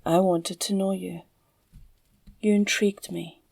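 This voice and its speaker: Woman playing a slow, gravelly Scottish female villain, obsessive. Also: on the low side, flat delivery, darkish tone, no room sound, heavily acted. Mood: intrigued, musing. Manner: teasing, drawling, slipping into breathy murmurs. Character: obsessive, flirtatious, psychotic female villain, captured crime boss. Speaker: audio roleplay voice actor. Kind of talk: ASMR villain roleplay monologue.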